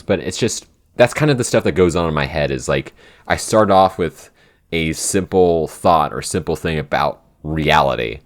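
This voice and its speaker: A man with an extremely low-pitched voice speaks in a casual, conversational Q&A style. He explains things at length, rambling a little and restarting his thoughts mid-sentence. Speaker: podcast co-creator and writer. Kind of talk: casual Q&A chat.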